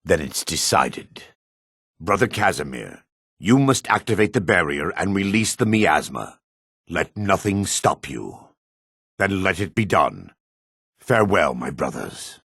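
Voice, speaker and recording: An actor playing a solemn, world-weary dark elf man in a fantasy video game, with a raspy, measured, theatrical delivery. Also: sits low, big pitch swings, no echo, studio quality, full character voice. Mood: solemn, resolute.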